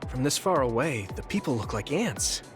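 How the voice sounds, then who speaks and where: A man's voice: mid-range voice, very animated, moderate pace, lightly acted. An actor playing a kind, easygoing young man in a video game cutscene, sincere, casual and encouraging. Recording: music behind the voice.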